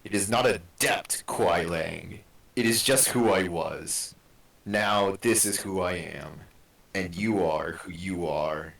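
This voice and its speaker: A man playing a solemn, resigned undead ninja brother in a fan drama, speaking in a measured, formal way. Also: deep voice, gravelly, a bit bright, exaggerated voice. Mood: solemn, firm. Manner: slow, measured, formal delivery. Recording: echoey room, distant mic.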